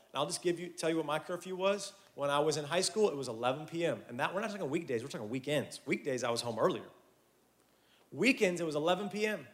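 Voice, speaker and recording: Youth pastor guy in his 30s, kinda high voice, preaching casual and lively with little asides. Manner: animated, conversational preaching with asides. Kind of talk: sermon to young people.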